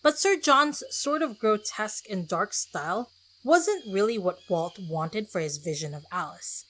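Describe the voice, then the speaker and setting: A woman's voice: medium pitch, animated, a little slow, fairly bright tone, low-quality recording. A woman podcast host narrating a casual scripted solo episode in a chatty, self-deprecating way with conversational asides.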